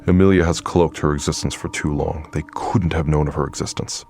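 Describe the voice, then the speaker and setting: An actor playing a stern, imperious immortal leader in an audio drama, grave and exasperated in manner. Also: male voice, extremely low pitch, fairly even intonation, brisk, a little mellow. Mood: grave, urgent. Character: stern, commanding male immortal leader with psychic senses.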